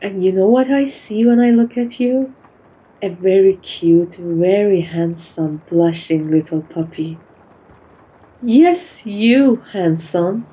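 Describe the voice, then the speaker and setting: A dominant yet kind female vampire, played by a woman, speaks softly in an intimate ASMR roleplay. Her voice is mellow and medium-pitched, and she takes her time over every phrase. She teases and coos, doting on the listener like a beloved pet. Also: slow, slightly rough, poor audio. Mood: teasing, flirtatious. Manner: soft-spoken, teasing, cooing, addresses listener like a pet. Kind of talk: soft-spoken ASMR roleplay.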